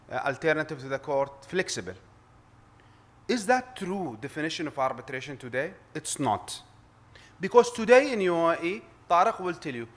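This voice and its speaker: A middle-aged man with an Arabic accent addresses a conference panel as an arbitrator, his voice sitting a little high for a man. He speaks earnestly and with emphasis, often breaking off to restart a word or repeat himself before pressing on. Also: fairly bright tone. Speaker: arbitrator and lawyer.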